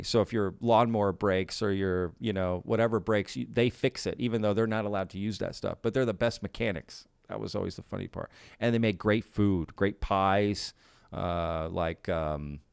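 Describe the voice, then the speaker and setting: A man's voice: on the low side, steady tone, normal speed, creaky, clean tone, no room sound, clean studio sound. A male live stream host in a casual Q&A, talking in a laid-back, folksy way, often saying y'all and reading out viewer comments. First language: bilingual Spanish and English.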